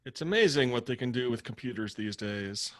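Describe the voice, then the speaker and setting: A man's voice: medium pitch, lively intonation, brisk, natural voice. Comedy table read: male actor as dry, teasing, boozy roommate, sardonic, mid-range voice. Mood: deadpan.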